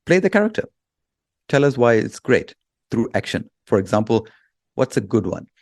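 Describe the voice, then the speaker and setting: A man's voice: medium pitch, big pitch swings, moderate pace, a little mellow, dry recording, studio quality. A man speaking as an expert guest in an interview, explaining with examples in a blunt, emphatic way.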